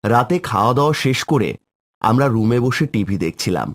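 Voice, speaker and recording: A man's voice: medium pitch, expressive, brisk. A man narrating a scripted first-person horror story for a cartoon.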